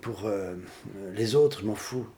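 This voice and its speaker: An older man with a dark, mellow voice speaks in halting, rambling bursts, restarting words and then landing on blunt, gruff replies. He talks casually as a guest singer answering questions. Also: lowish voice, slight background noise. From Switzerland. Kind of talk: casual radio interview.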